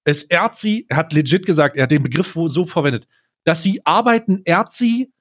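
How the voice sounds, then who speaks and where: A man's voice: on the high side, lively intonation, fairly quick, a bit bright. A man telling anecdotes casually as a podcast co-host, blunt and slangy, dropping in bits of English.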